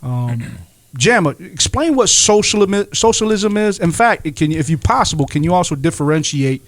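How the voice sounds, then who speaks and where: A man hosting a casual faith podcast, laid-back and quick to laugh as he leads the topic. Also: on the high side, expressive, dry recording. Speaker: podcast host.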